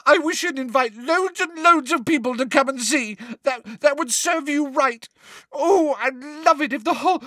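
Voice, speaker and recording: A shrill teenage girl who stammers and sobs through every line, as a man puts her on. The voice sits very high and leaps about, and the sound is dry and close. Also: pushed and bright, no echo, heavily acted.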